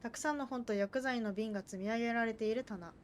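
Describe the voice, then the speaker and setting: A woman's voice: on the high side, rapid-fire, noisy background. A woman doing casual live commentary as a game streamer, chatting and reacting into a streaming microphone. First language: Japanese.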